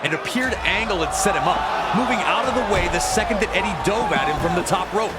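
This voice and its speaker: A man's voice: sits high, animated, brisk, over a noisy crowd, heavy background noise. A man delivering dramatic scripted sports narration, recounting wrestling action like a storyteller. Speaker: match narrator. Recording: loud music behind voice. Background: loud music.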